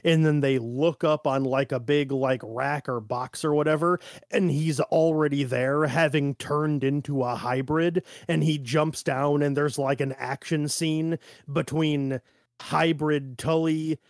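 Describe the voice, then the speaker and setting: A man with a fairly high-pitched voice talks casually as a podcast co-host. He works through notes haltingly, sprinkling in "like" as he goes. The sound is dry, with no room echo. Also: fairly even intonation. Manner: halting, peppered with "like".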